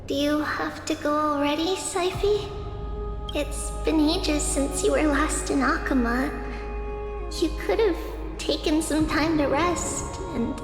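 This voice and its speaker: A woman voicing a gentle, caring and teasing girlish big-sister character in a video game, speaking affectionately and without hurry. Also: high-pitched, slow pace. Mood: gentle concern. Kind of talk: English video game voice acting. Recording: echoey hall reverb under music.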